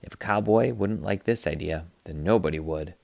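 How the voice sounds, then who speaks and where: A man reading a children's picture book aloud like a teacher, in an expressive, playful way. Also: low voice, moderate pace, creaky, dry recording. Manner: expressive, playful, acts out sound effects.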